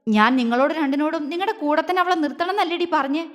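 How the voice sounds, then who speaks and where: Audio-story role: desperate, demanding young husband, played by a woman, high-pitched, in long reverb. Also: fast talker, natural voice. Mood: furious. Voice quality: trembling, roaring when angry. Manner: stammering, urgent, demanding. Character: distraught young husband, furious, searching for missing wife. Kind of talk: dramatic audio-story dialogue.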